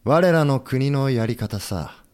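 A man acting an earnest, humble, idealistic leader of a rebel government in a historical audio drama, speaking in a measured, sincere, formal way. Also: medium pitch, animated, a little mellow, smooth. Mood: earnest resolve.

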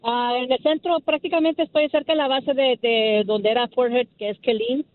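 A woman's voice: high voice, steady tone, normal speed, no room sound. A woman from the northeastern United States calling a radio show by telephone, chatting casually about her own life. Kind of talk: casual personal conversation. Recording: tinny, narrow phone line.

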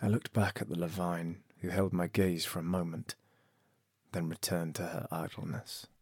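A man's voice: low voice, flat delivery, normal speed, a little mellow, husky, dry recording, studio quality. A man playing a proud, defiant disgraced nobleman in a scripted fantasy audio drama, with an intense theatrical delivery. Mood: brooding, intimate. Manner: theatrical, intense, literary phrasing. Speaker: lead actor and narrator.

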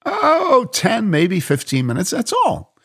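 A woman's voice: medium pitch, wildly swooping pitch, slow pace, husky, voice pushed up, heavily acted. A man voicing a cheerful, outspoken young woman as he reads a story aloud. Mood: delighted. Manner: bubbly and outspoken.

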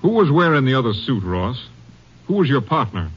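An actor playing a brisk, direct Texas Ranger captain in an old-time radio drama. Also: male voice, mid-range voice, sing-song, slightly rough. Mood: firm. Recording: muffled old radio recording.

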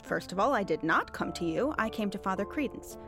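An actress playing a shrewd, insistent soothsayer of Puritan times in an audio drama, articulate and wryly needling. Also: female voice, medium pitch, expressive, brisk, over music, natural voice. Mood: insistent, brisk. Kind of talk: period audio drama acting.

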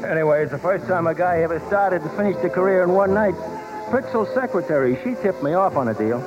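An actor playing a gossipy, cynical stagehand in a muffled 1950s radio drama with music. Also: male voice, high voice, animated, fairly quick. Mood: gossiping, sardonic.

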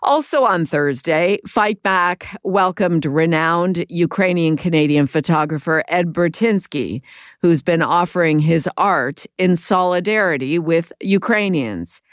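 A woman radio host reading a scripted introduction in a measured way. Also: on the low side, dry recording, clean studio sound.

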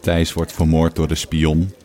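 A male narrator with an extremely deep voice reads scripted recaps of a game. Birds and cooing pigeons are heard behind him. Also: monotone, a little slow, mellow.

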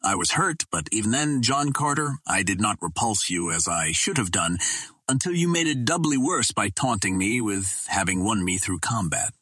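A man's voice: lowish voice, expressive, normal speed, bright, forceful tone, husky, no room sound, clean studio sound, heavily acted. Man voicing a sorrowful, proud young alien princess. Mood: wistful, confessing. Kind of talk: dramatic audiobook dialogue.

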